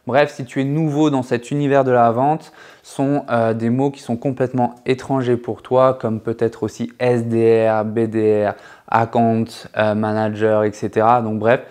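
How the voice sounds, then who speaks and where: Thirties male trainer, casual on-camera explainer, lapel mic, filler-heavy and chatty, mid-pitched. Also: moderate pace. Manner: chatty, many fillers and restarts, lively hand gestures. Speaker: sales trainer, channel co-host. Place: living room set with sofa and shelves.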